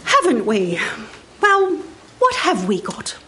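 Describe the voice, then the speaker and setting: A woman acts out an old retired pirate mother, bold and determined. She talks slowly and emphatically in a high voice, full of seafaring words. It is radio theatre with faint noise behind. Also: huge pitch swings, a bit bright, exaggerated voice. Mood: determined, undeterred. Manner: slow, emphatic, nautical turns of phrase. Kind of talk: stage radio play acting.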